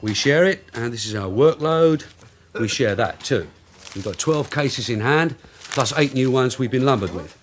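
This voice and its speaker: A man's voice: medium pitch, animated, moderate pace, slight background noise, close to an everyday voice. An actor with a British accent playing a wry, streetwise male detective sergeant in a radio drama, with a dry, sardonic way of talking. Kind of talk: 1980s radio drama acting.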